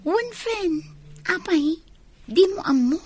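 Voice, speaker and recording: A woman's voice: very high-pitched, animated, takes it slowly, exaggerated voice. A woman playing a concerned young friend in an Ilocano radio drama.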